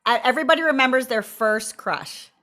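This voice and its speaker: A woman's voice: sits high, fairly quick, sharp tone. A woman co-hosting a talk show in a playful, casual, chatty way. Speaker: co-host. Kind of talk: casual chat.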